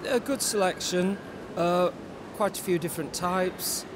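A man's voice: high-pitched, moderate pace. An older man giving expert answers in an interview as a show judge. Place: large busy indoor exhibition hall. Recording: noisy show hall background.

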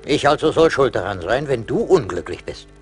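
An actor playing a wise, stern little forest spirit who chides and admonishes, in a German radio play. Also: male voice, mid-range voice, very animated, normal speed, faint music behind, close to an everyday voice. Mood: indignant chiding. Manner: admonishing, rhetorical.

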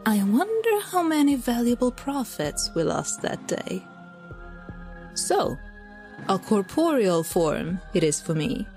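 A woman playing an otherworldly divine messenger who was once human, formal and deliberate, in an audio drama. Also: a little high, very animated, darkish tone, soft background music, exaggerated voice. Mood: solemn, uncanny. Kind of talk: scripted audio drama monologue and narration.

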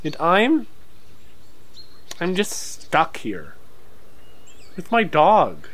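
A man acting an eager, grumbling boy in an audio drama, whiny and excitable. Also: high voice, very slow, exaggerated voice. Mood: pleading, whiny.

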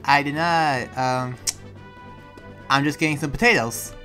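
A person improvising a relaxed demon slayer in a game roleplay, with a hesitant, halting delivery. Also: medium pitch. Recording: music behind the voice.

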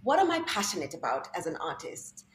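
A young woman from Kenya telling the story of her work as a guest in an interview, reflective and animated when she quotes herself, heard over a video call. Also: lowish voice, fairly quick. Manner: reflective storytelling, quoting herself in lively voices. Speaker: guest artist. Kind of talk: conversational interview. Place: bright indoor room, video call. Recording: roomy video-call audio, faint room noise.

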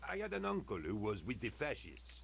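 An actor playing a grumpy, sarcastic middle-aged street sweeper in a point-and-click adventure game, talking dryly with a gruff edge. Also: male voice, fairly low pitch, huge pitch swings, brisk, a bit bright, slight background noise, heavily acted.

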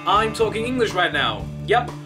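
A young man in his twenties with a German accent, earnestly talking through a game theory from his bedroom, with a few filler pauses. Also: high-pitched, sing-song, music in the background. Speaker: YouTuber. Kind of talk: game theory video commentary. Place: small bedroom with shelves, indoors.

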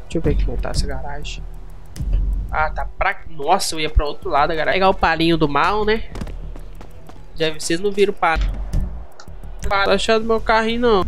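A man's voice: a little high, brisk, long pauses, a bit bright. A young Brazilian man chatting casually and playfully in slang while streaming a role-play game. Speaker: gaming streamer. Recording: music under the voice.

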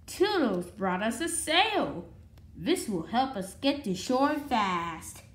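Woman, high voice, very slow pace, children's read-along, roomy home recording. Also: sing-song, slight background noise. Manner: stumbles over words and restarts. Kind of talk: reading a children's picture book aloud. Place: indoor room at home with wooden floor.

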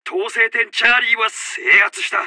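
High-pitched, urgent army officer. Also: male voice, normal speed, sharp tone, no echo, full character voice. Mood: commanding, proud. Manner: commanding, intense, urgent. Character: urgent male Japanese army officer announcing battle updates. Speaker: battle announcer. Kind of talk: military video game announcements, short barked lines.

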